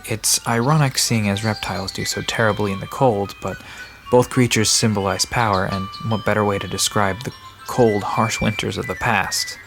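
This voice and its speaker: Low-voiced, dry, guarded park caretaker, played by a man. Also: fairly even intonation, normal speed, vocal fry, hardly any pauses, over music. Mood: dry, explanatory. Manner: dry, matter-of-fact, guarded. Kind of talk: audio drama dialogue, explaining mythology.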